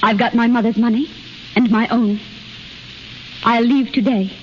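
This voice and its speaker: A woman's voice: a little high, fairly even intonation, measured pace, natural voice. A woman acting a proud, anguished young daughter, intense and earnest in a 1930s radio drama. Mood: defiant. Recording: muffled, noisy 1930s radio recording.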